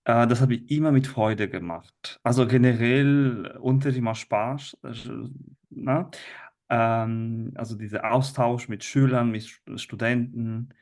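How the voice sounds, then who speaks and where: Slow, hesitant Italian man. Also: medium pitch, steady tone, darkish tone. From Italy. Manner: hesitant, many fillers and restarts. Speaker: teacher, guest. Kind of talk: conversational interview. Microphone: video call.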